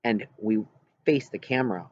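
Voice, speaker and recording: A man reading a story aloud as an audiobook narrator, with an expressive scripted delivery. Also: lowish voice, moderate pace, lo-fi sound, a little hiss or hum.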